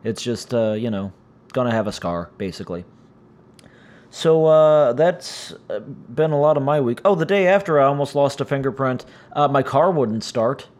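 Raspy-voiced man hosting a podcast. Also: mid-range voice, expressive, normal speed, darkish tone. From United States. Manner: rambling, frequent "uh" fillers, self-deprecating. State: raspy, strained voice from injured vocal cords. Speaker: podcast host. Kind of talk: casual solo podcast chat. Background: cats making noise.

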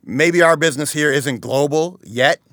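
A man's voice: on the high side, normal speed, a bit bright, no echo. Earnest man in a dry, close recording. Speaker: chamber of commerce president, interview guest. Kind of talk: conversational interview.

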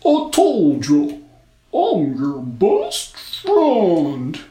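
A woman's voice: fairly low pitch, huge pitch swings, drawn-out words, dark tone, roomy sound, slight background noise, full character voice. A woman voicing a hearty, bossy talking snowman with slurred speech, mischievous, in a storybook reading.

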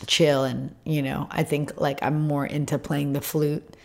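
Low, raspy-voiced woman guest; casual, filler-heavy chat. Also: flat delivery, unhurried, a little mellow. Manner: rambling, lots of fillers. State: throat scar tissue, tires easily. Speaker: interview guest, retreat leader. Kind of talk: casual conversation.

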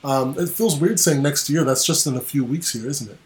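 A man in his twenties hosting a casual gaming podcast, chatty and quick to laugh, trailing off with ums. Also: a little high. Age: 20s. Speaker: podcast host.

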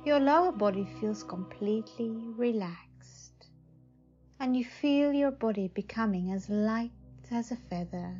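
A woman guides a meditation at a very slow pace, soothing and full of long pauses. Her pitch is average. The recording is slightly dull, with faint music underneath. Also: mellow. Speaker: psychic advisor, meditation guide.

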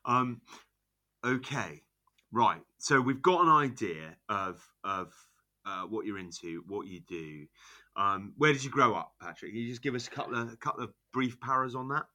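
A man hosting a podcast interview, chatty and enthusiastic, quick to praise his guest. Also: mid-range voice, expressive, bright, forceful tone. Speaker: podcast host.

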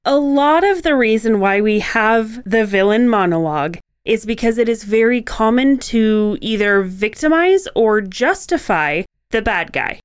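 A woman's voice: a little high, animated, unhurried, smooth, no echo, clean studio sound. A woman co-hosting a podcast, talking conversationally as she explains a craft. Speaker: podcast co-host.

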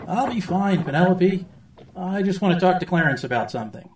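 A man's voice: sits high, animated, fairly quick, breathy edge. A man playing an earnest, preachy pig with an admonishing manner, in a children's audio drama. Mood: reassuring. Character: earnest, preachy male pig with a fussy nervous streak.

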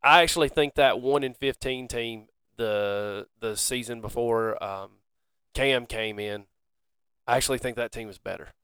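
A man's voice: medium pitch, moderate pace, fairly bright tone, clean tone, no room sound. A man co-hosting a sports podcast, sounding glum and defeated about his team but laughing it off in a casual chat. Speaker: podcast co-host.

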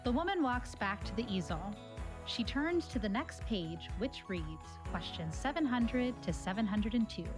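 A woman's voice: a little high, big pitch swings, a little slow, over music. A woman reading scripted stage directions as the narrator of an audio play.

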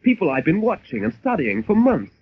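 Animated, earnest playwright. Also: male voice, high voice, a little fast. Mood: earnest, insistent. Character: anxious, earnest playwright defending his work. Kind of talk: 1940s radio acting. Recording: muffled, hissy old radio recording.

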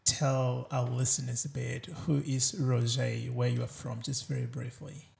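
A man hosting a podcast interview in a casual, halting and measured way. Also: medium pitch, little pitch movement, creaky, a little mellow. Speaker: podcast host. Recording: roomy echo.

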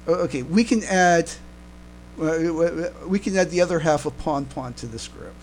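A man co-hosting a casual fan podcast, talking haltingly and often repeating and restarting his words. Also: a little high, poor audio. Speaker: podcast co-host. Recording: clear background noise, mains hum.

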